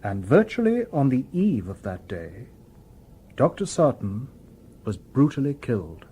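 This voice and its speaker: An actor playing a calm, courteous humanoid robot detective in a radio drama, with formal, precise phrasing. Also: male voice, mid-range voice, a little slow, a little hiss or hum.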